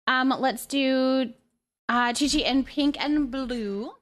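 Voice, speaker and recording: A woman with a fairly high voice talks casually as a live streamer. She is expressive and informal, responding to her viewers in a sympathetic, conversational way. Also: slow. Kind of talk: casual chat with live stream viewers, reading and answering comments.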